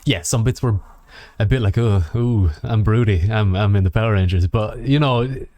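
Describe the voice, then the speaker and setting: Male podcast co-host, lowish voice, dry close sound, enthusiastic and sweary in casual chat. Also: normal speed, warm tone, no echo. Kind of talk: casual film and game chat.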